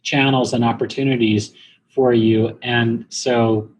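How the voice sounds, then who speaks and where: A man giving explanatory answers as a business guest in an interview, speaking in a measured, halting way with pauses. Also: mid-range voice, flat delivery, rough recording. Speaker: guest, internet marketing company president. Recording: clear background noise.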